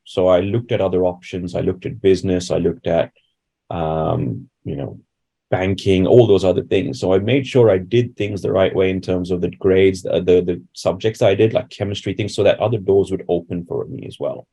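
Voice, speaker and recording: A man's voice: sits low, fairly even intonation, moderate pace, creaky, warm tone, slightly rough. A man from India giving reflective, conversational answers as a doctor being interviewed. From Bangalore, India.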